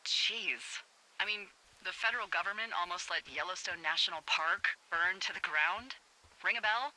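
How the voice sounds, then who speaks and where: A woman's voice: fairly low pitch, normal speed, sharp tone, rough recording. A woman playing a wry, teasing American fire lookout in a naturalistic video game, chatty and sardonic, heard over a two-way radio. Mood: sardonic, explanatory.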